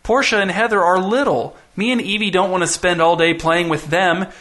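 A stubborn grade-school girl from a storybook, performed by a man reading aloud. The pitch is pushed up high and the tone is bright and forceful, full of whiny, indignant protest. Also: lively intonation, fast talker, exaggerated voice. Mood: outraged.

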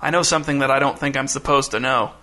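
A man's voice: mid-range voice, fairly even intonation, fast, pushed and bright, natural voice. A man voicing a scheming, hedging would-be king in a story, speaking conspiratorially. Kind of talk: storybook character voice.

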